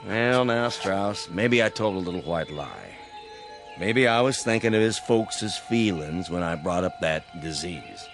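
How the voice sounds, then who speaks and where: An actor playing a dignified, defensive small-town American doctor in a radio drama, speaking in a measured way. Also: male voice, low voice, over music. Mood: guarded.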